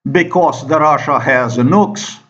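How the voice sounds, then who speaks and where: A man from Slovenia with a Slovenian accent, giving an unscripted monologue in a halting, emphatic and profane manner. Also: a little high, a bit bright. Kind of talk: unscripted monologue commentary over screen recording. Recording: dull lo-fi microphone sound.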